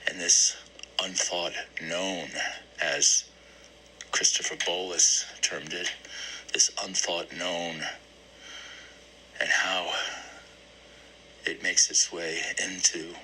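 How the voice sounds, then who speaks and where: A man's voice: deep voice, fairly even intonation, slow pace, long pauses, pushed and bright, a little hiss or hum, full character voice, rough recording. A man playing a brooding therapy patient in a play, musing hesitantly and repeating phrases as he searches for words. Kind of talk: dramatic one-act play monologue.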